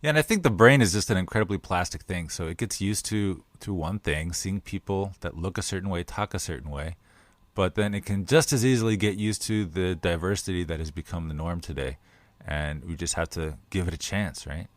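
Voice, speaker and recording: A man's voice: sits low, a little fast, no echo. A man co-hosting a podcast, chatting in an upbeat, joking way. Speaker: podcast co-host. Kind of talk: casual podcast chat.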